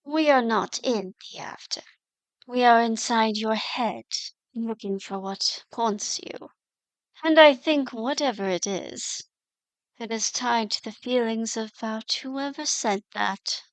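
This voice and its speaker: A woman acting as a gentle, ancient mystic guide in a fantasy audio drama, solemn and coaxing in a hushed, deliberate delivery. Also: fairly high pitch, no echo. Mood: calm, probing.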